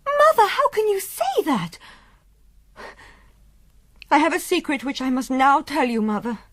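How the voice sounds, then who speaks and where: A woman's voice: sits high, sing-song, a little slow, dry recording, clean studio sound. A young woman playing a virtuous, ardent noble daughter in a Gothic radio drama, earnest and formal. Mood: distressed. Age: young adult.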